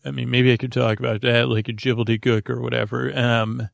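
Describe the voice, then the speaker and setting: Creaky-voiced man rambling a bedtime monologue. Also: mid-range voice, flat delivery, no echo, studio quality. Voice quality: creaky, gravelly voice. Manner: meandering, halting, goofy, trails into tangents. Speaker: sleep podcast host.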